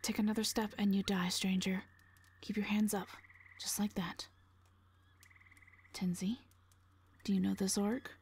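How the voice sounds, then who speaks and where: A woman playing a wary, commanding scout in an audio drama, speaking in a firm, hushed, terse way. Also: medium pitch, level tone, measured pace, pauses often, breathy edge. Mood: threatening, firm. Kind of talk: audio roleplay drama acting.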